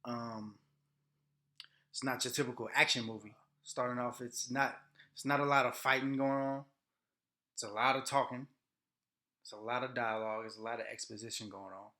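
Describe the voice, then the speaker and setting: A man hosting a casual, unscripted movie review, speaking in halting, deliberate phrases with pauses. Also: medium pitch, fairly even intonation, pushed and bright.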